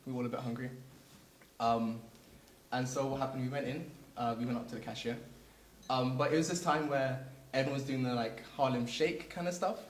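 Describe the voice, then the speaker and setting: A man's voice: mid-range voice, steady tone, brisk, echoey room, a little hiss or hum, poor audio. A young man telling a story on a panel game, hesitant with many ums. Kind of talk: casual anecdote.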